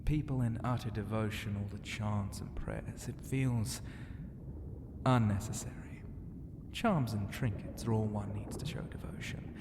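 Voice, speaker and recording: A man voicing a flirtatious, regal sea dragon ocean god in an ASMR roleplay, teasing and grandly courteous, often dropping into a breathy whisper. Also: lowish voice, fairly even intonation, a little slow. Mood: smug, possessive. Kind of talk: ASMR roleplay addressed to the listener. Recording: long cavernous reverb, clear ambient noise.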